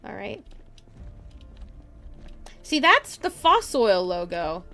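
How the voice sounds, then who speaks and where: A woman giving excitable live gameplay commentary, talking to herself and to her viewers. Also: medium pitch, takes it slowly, vocal fry. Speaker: game streamer. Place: indoors, streaming at a computer.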